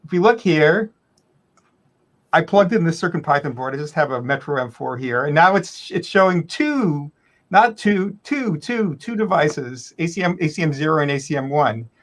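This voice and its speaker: A man's voice: a little high, lively intonation, normal speed. A man giving a casual technical demo as a developer, explaining step by step and correcting himself as he goes. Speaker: software developer demonstrating work.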